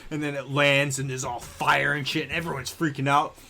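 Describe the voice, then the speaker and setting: A man's voice: mid-range voice, little pitch movement, brisk, creaky, pushed and bright. A man co-hosting a podcast in casual chat, retelling things in a slangy, sweary, laughing way. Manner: laughs often while talking. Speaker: podcast co-host.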